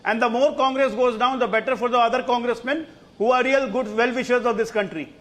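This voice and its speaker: A man's voice: extremely high pitch, fairly even intonation, a little fast, fairly bright tone. A man with an Indian accent arguing politics as a panellist on a TV news debate, in an indignant, emphatic and scornful way.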